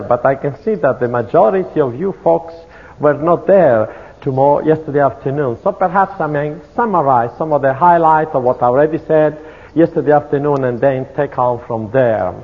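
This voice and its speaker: Italian-accented man preaching in a hall. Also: medium pitch. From Italy. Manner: measured, earnest, prayerful. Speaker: speaker at a church conference. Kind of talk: sermon-style lecture with testimony. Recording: tinny, phone-like, echoey hall.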